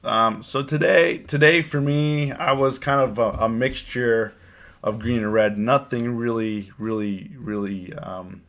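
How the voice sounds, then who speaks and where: A man with a cold and a hoarse voice, hosting a casual live stream and chatting with his viewers, often repeating his words. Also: mid-range voice, measured pace, a bit bright. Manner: rambling, repeats words, reads chat aloud. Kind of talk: casual live stream chat about trading.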